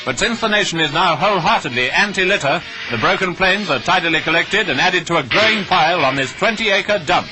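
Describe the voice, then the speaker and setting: A man's voice: high-pitched, lively intonation, a little fast, few pauses, over loud music. A man with a British RP accent delivering clipped, declamatory wartime newsreel commentary on an old 1940s soundtrack. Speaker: newsreel narrator.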